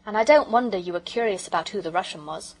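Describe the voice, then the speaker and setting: A woman's voice: mid-range voice, fast talker, breathy edge, natural voice. A woman voicing an anxious, forthright young boy in a storybook, blunt, questioning and well-spoken. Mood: frank.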